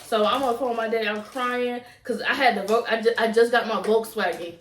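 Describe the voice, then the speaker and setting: A woman's voice: on the high side, steady tone, fairly quick. Southern woman chatting, roomy and distant. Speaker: vlogger. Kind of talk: casual storytime chat. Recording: roomy echo, distant from mic.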